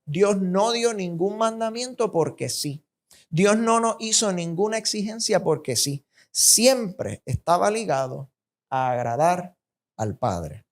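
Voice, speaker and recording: Preacher, female, Puerto Rican accent. Slow delivery, deliberate and emphatic sermon style with repetition for weight. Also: fairly low pitch, expressive. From Puerto Rico.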